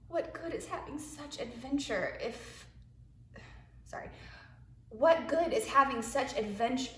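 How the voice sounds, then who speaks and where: A woman, a preschool teacher, reading a picture book aloud to young children in an expressive way, with hushed asides. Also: on the high side. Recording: echoey room.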